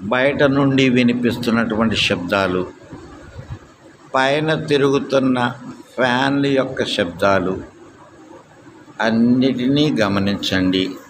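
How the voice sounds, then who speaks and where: Male meditation instructor, calm and deliberate, extremely unhurried pacing with long gaps; noticeable ambient noise behind the voice. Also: mid-range voice. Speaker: yoga nidra instructor. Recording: clear steady background noise.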